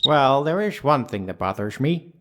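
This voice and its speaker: A man voicing an authoritative, pondering judge in a courtroom game, speaking formally and deliberately. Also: medium pitch, sing-song, fairly bright tone. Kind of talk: video game fan voice acting.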